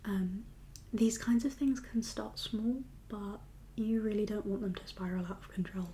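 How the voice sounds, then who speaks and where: A woman speaking in a soft, breathy whisper with a calm, reassuring, unhurried manner, as a doctor in an ASMR medical roleplay. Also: medium pitch, a little hiss or hum. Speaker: ASMR performer playing a doctor.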